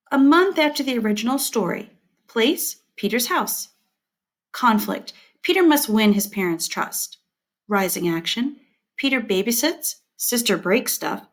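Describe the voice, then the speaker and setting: Higher-pitched woman reading a lesson aloud. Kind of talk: scripted instructional read-aloud.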